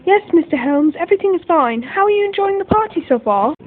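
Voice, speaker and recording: A woman's voice: very high voice, animated, fast, narrow, tinny audio. A woman playing a rich man's polite, distracted wife in a radio mystery. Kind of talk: radio mystery play acting.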